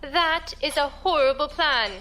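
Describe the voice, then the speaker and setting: Inquisitive female crew newcomer, high voice, unhurried pace, uneasy, sci-fi audio drama. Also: pushed and bright, slight background noise, poor audio. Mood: friendly, anxious. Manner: questioning, informal. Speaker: voice actor. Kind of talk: science fiction audio drama dialogue.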